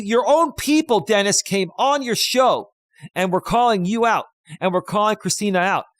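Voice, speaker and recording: A man of fifty, a life coach, ranting loudly and emphatically on a live stream while reading out chat, close on a studio dynamic mic. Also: high voice, no room sound. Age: 50s.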